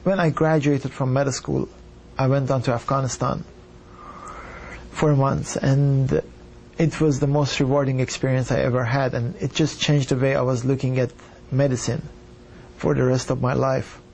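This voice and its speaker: A doctor from Iran telling his story in an earnest, measured way. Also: medium pitch, little pitch movement, vocal fry, darkish tone, a little hiss or hum, low-quality recording. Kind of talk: reflective personal account.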